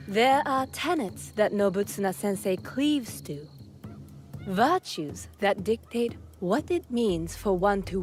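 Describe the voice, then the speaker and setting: An actress playing a gracious, composed noble lady who teaches, speaking formally and with measure in a video game cutscene. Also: medium pitch. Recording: faint game music behind.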